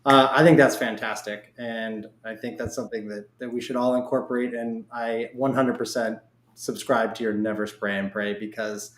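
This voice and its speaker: A man's voice: mid-range voice, level tone, moderate pace, fairly bright tone. Man hosting in an echoey room. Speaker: podcast host. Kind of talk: casual interview. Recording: echoey, roomy sound.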